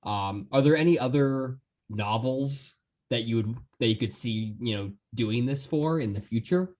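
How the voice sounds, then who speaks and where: A man's voice: medium pitch, a little slow, a little mellow. A man hosting a podcast, chatting casually and enthusiastically as he interviews his guests. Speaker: podcast host.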